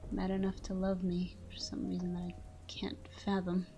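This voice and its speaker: A woman's voice: lowish voice, monotone, moderate pace, warm tone, natural voice. A woman playing a caring, tormented vampire lover in an intimate ASMR roleplay, murmuring tenderly and slipping into whispers. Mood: tender, haunted. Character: caring, tormented female vampire lover watching her sleeping partner.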